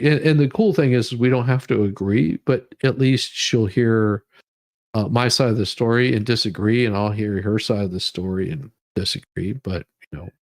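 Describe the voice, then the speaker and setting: Halting, rambling man chatting on a livestream. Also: medium pitch, creaky, a little mellow, no room sound. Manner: rambling, halting, often repeating words. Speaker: livestream host. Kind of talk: casual livestream chat with viewers. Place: room at home.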